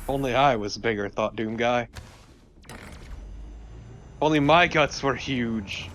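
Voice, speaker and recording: A man's voice: mid-range voice, slow pace. A man co-commentating on a game in casual, joking banter. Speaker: let's play co-commentator. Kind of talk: casual banter over gameplay. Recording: loud game noise behind voice.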